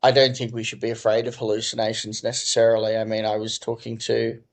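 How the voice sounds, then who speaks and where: A man, a company co-founder and chief executive, answering interview questions in a measured, thoughtful way full of "I think.". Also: fairly low pitch, monotone. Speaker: company co-founder and CEO, podcast guest.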